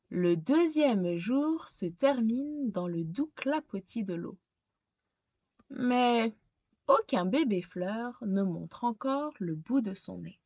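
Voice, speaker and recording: A woman reading a children's picture book aloud expressively, pausing to put simple questions to young listeners. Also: mid-range voice, dry recording, dull audio. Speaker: storytime reader.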